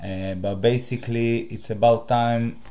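A man from Israel with a Hebrew accent, a native Hebrew speaker hosting his own channel and talking to the camera in a direct, halting way with many fillers. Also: mid-range voice, fairly even intonation, warm tone. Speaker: channel host. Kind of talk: solo talk to camera.

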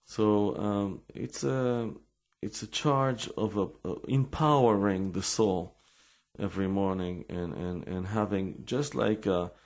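A man with an Israeli accent giving an informal religious talk with personal stories, halting and repeating words as he searches for English. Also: lowish voice, vocal fry, darkish tone.

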